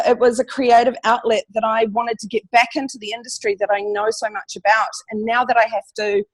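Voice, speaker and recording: A woman with a New Zealand accent chats as a guest, her voice fairly high. She sounds friendly and relaxed, laughing lightly at herself. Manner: friendly, laughing, a little self-deprecating. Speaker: guest photographer. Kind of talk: casual interview chat.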